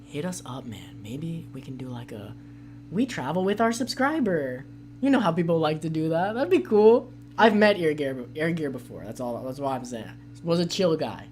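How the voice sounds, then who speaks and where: An American man with a hoarse voice, hosting a casual podcast and chatting loosely while reading out viewer comments. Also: on the high side, very animated, normal speed, darkish tone, slight background noise. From United States. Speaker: podcast host.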